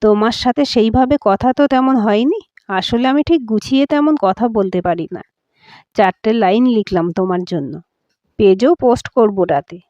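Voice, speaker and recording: A reserved young husband, read by a woman narrator speaks shyly and earnestly in an audio story. The voice sits fairly high, and the recording is dry. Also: lively intonation, normal speed, mellow, no echo, dull audio, natural voice. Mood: shy, tender. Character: shy, reserved young husband, awkward with words.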